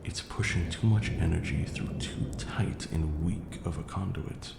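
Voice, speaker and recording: A man playing a pompous but patient vampire lord who lectures on magic in a deliberate ASMR roleplay style. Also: exaggerated voice. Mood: patient lecturing. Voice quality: low, gravelly. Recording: roomy echo, audible background hiss.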